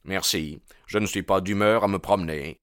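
A man voicing a composed, defiant young man in an audiobook, speaking in a quiet, dry manner. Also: low voice, normal speed, clear, resonant tone, dry recording. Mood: cool. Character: cool, defiant, bitterly self-aware young man.

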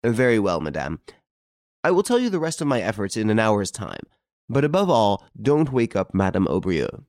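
A man voicing a confident, sly gentleman detective in an audiobook, good-humoured but emphatic. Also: fairly low pitch, huge pitch swings, normal speed, no echo, studio quality. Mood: brisk, courteous.

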